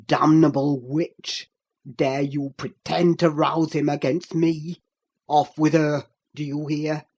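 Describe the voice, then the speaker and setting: A woman's voice: low-pitched, fairly even intonation, a little slow, dark tone, pitched below the performer's own voice. A woman reading aloud, voicing a furious, brooding middle-aged master of the house who threatens and gives orders. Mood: raging. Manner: harsh, threatening, commanding.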